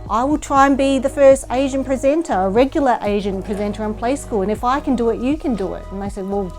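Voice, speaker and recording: A woman's voice: a little high, fast, darkish tone, faint music behind. A middle-aged woman with an Australian accent, telling personal stories casually as an interview guest. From Perth, Australia. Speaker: interview guest, children's TV presenter. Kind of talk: casual anecdotal storytelling.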